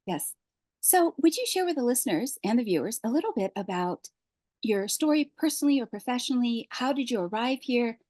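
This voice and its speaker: A woman's voice: a little high, expressive, a little fast, a little mellow, no echo. A woman hosting a podcast interview, gracious and affirming as she asks long questions. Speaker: podcast host.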